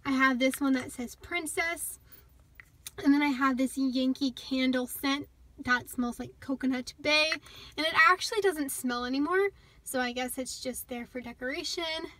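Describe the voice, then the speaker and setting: A woman's voice: high-pitched, moderate pace, pushed and bright, dry recording. A young woman giving a chatty, upbeat tour of her car, recorded on a handheld phone inside the parked car. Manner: chatty and upbeat, with little laughs. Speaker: vlogger. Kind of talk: casual car tour walkthrough. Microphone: phone held in hand.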